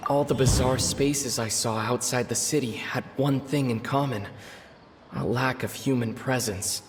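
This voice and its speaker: A man's voice: mid-range voice, fairly even intonation, measured pace, close to an everyday voice. Man as reverberant, pensive young adventurer. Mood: pensive, certain. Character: young male adventurer, earnest, pensive, piecing things together. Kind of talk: video game cutscene monologue. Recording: long reverb, clear background noise.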